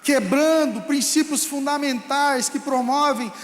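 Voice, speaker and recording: A male pastor with a Brazilian Portuguese accent preaching a fervent sermon that rises to shouts. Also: very high-pitched, a little slow. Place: church hall. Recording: echoey hall with long reverb.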